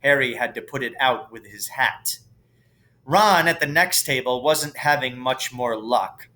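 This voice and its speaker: A man narrates a children's storybook in a middle-pitched, forceful voice. His reading is expressive and unhurried, like a teacher reading to a class. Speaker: teacher reading a book aloud.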